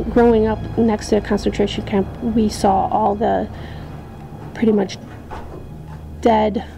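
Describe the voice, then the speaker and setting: A woman's voice: mid-range voice, creaky, warm tone, low-quality recording. A young adult woman from Poland, an army veteran, answering interview questions hesitantly with many ums and restarts.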